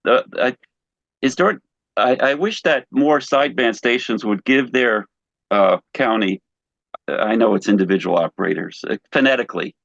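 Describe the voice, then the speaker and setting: A man with a gravelly, creaky voice speaks as a participant in a casual online discussion. He is hesitant and halting, restarting his words. The audio is dull and lo-fi, with no room echo. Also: medium pitch, fairly bright tone. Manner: hesitant, halting, repeats word starts. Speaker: participant asking questions. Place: online video meeting. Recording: dull lo-fi video call audio.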